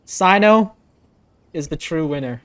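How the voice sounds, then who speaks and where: Slow-talking casual game reaction streamer. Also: mid-range voice, animated, background noise.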